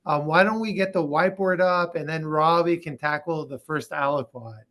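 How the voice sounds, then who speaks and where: A man's voice: a little high, normal speed, fairly bright tone. A man hosting a medical case conference on a video call, playful and teasing with the participants.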